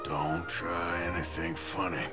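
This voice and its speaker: An actor playing a sardonic, commanding American cowboy outlaw in a video game. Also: male voice, low-pitched, little pitch movement, slow, loud music behind the voice. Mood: menacing. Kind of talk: video game cutscene acting.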